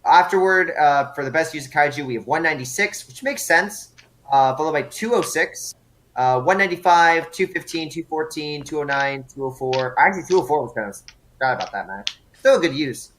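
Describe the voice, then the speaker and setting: A man's voice: on the high side, expressive, fairly quick, fairly bright tone, a little hiss or hum. A man hosting a casual online group chat, reading out award results with many fillers and restarts.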